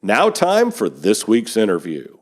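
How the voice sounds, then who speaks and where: A man's voice: fairly low pitch, a little slow. Male podcast host reading news. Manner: steady read-aloud delivery. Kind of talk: scripted news reading and interview hosting.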